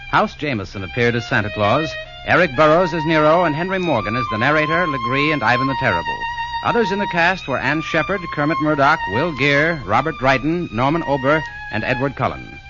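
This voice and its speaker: Old-time radio announcer guy, very animated, slightly high voice, loud violin music behind, dull recording. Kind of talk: scripted 1940s radio announcing.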